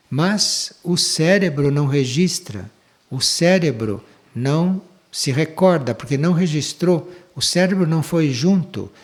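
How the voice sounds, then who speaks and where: An older man giving a slow, measured spiritual lecture, pausing often between phrases. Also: medium pitch. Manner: slow, measured, with long pauses. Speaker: spiritual teacher giving a talk.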